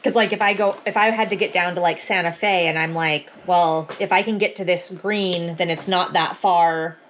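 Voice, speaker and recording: A woman's voice: on the low side, steady tone, moderate pace, fairly bright tone, slight background noise. Woman thinking through game strategy. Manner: talks through her strategy aloud. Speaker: friend at game night. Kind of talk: casual chat.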